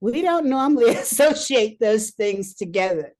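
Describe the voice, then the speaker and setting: A woman's voice: fairly high pitch, animated, normal speed, darkish tone. A woman hosting a leadership podcast, who talks with enthusiasm and laughs easily. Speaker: podcast host, leadership coach. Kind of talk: conversational interview.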